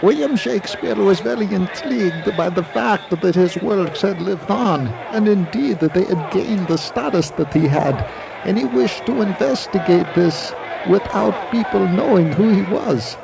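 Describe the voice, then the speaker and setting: A man playing a calm scientist in a 1980s radio drama, explaining things patiently and matter-of-factly. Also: high-pitched, creaky, exaggerated voice, low-quality recording. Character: calm male scientist who fetched Shakespeare.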